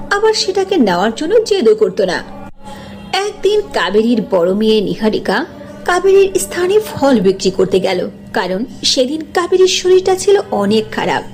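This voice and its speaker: A woman's voice: a little high, a little mellow. A woman narrator reading a scripted cartoon story.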